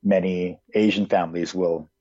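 A man's voice: low voice, steady tone, normal speed, dark tone, no room sound, highs cut off. A man in his twenties who grew up in Hong Kong, answering interview questions in a casual, hesitant way with many fillers. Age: 20s.

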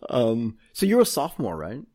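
A man's voice: medium pitch, wildly swooping pitch, moderate pace, mellow. A man hosting an interview podcast, chatty and asking long, rambling questions. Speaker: podcast host.

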